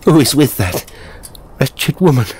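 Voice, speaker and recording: An imperious young nobleman in a horror story, played by a male narrator, demanding yet badly shaken. His voice is lifted well up in pitch, with a husky edge and a tendency to stammer. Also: sing-song, a little slow, darkish tone, heavily acted. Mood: horrified demand.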